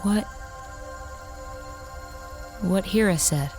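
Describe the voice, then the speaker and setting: An actress playing a brisk, capable officer on a space station, clipped and businesslike, in an audio drama. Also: female voice, mid-range voice, darkish tone, faint music behind. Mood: shocked.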